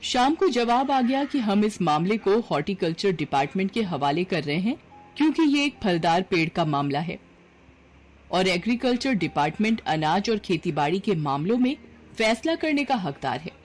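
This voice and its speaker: A woman narrating a scripted story in an expressive storytelling manner. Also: mid-range voice, moderate pace, a little hiss or hum.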